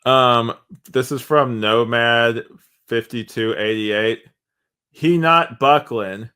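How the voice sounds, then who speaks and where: A man's voice: mid-range voice, slow, pushed and bright, clear, resonant tone. A man co-hosting a podcast in casual discussion, talking in a rambling, conversational way with "like" dropped in often. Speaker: podcast co-host.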